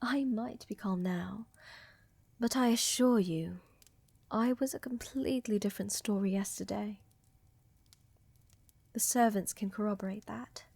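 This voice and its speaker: Breathy, slow-spoken vampire countess, acted by a woman. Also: mid-range voice, no echo. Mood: tender, attentive. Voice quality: soft, breathy, often whispering. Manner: gentle, reassuring, unhurried, affectionate. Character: tender, doting vampire countess, flirtatious lover. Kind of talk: soft romantic ASMR roleplay. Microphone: close microphone, dry.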